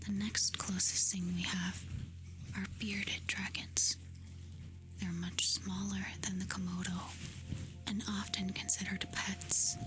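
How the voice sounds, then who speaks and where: A woman doing soft-spoken ASMR, reading facts aloud in a hushed, soothing, breathy whisper. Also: mid-range voice, flat delivery. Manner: slow, hushed, soothing delivery with short phrases. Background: music.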